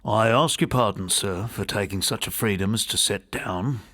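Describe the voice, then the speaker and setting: A man's voice: fairly low pitch, normal speed, a bit bright. A man voicing a deferential, uneasy college manservant in an audiobook, rambling on in homely, uneducated speech. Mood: apologetic, flustered. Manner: deferential, rambling, folksy grammar. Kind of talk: audiobook character voice.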